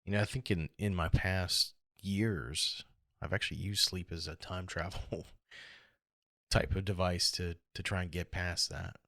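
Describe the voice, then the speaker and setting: A middle-aged American man with a hoarse, gravelly voice, talking alone in a reflective, halting and rambling way as a casual podcast host. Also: low voice, steady tone, sharp tone, dry recording, studio quality. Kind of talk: casual solo podcast monologue.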